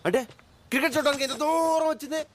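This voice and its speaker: A man's voice: extremely high pitch, fairly even intonation, rapid-fire, noisy background. A man playing a playful, eager young hero in an Indian film comedy, casual and teasing. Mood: eager, pleading.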